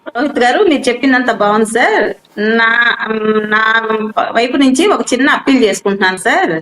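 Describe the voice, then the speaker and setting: A female caller with a high voice, heard with a roomy echo. She speaks quickly and earnestly, in a deferential, pleading way, often adding sir. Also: animated, fairly bright tone. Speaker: guest caller on a live show. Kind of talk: earnest opinion on a live call-in.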